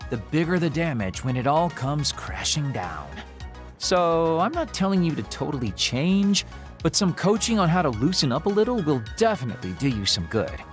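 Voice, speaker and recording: A man acting as a breezy, self-assured young mentor of fun in a video game, teasing and theatrical. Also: fairly high pitch.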